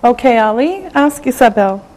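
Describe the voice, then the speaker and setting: A woman's voice: a little high, animated, moderate pace, a little mellow, rough recording. A woman teaching an English lesson to learners, drilling short phrases with patient repetition and careful enunciation. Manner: clear, carefully spaced enunciation.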